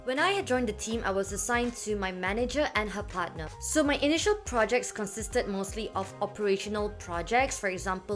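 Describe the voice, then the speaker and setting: A young woman talking casually to camera about her work experience, filling her speech with "like" and "um". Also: medium pitch. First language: not French. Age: young adult. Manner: chatty, many "like" fillers. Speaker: vlogger.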